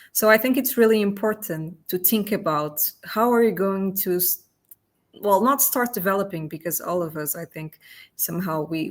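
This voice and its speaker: Woman panelist, low-pitched, earnest, restarts her sentences. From Portugal. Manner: earnest, searching for words with frequent restarts. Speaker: panelist, engineer. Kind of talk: panel discussion.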